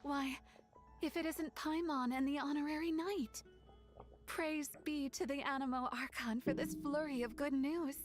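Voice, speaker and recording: A sweet young woman of a fantasy game, speaks in a high, gentle voice. She is earnest and devout, with faint music behind her. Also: fairly even intonation, a little slow. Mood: happy, hopeful. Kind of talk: animated video game acting.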